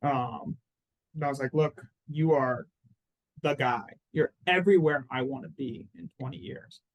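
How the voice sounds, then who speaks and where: A man in his thirties from Phoenix, a lawyer guest talking in a relaxed, chatty way, full of "like" and "I mean". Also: mid-range voice, measured pace, dull audio. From Phoenix, Arizona. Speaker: personal injury lawyer, guest. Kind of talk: casual interview answers.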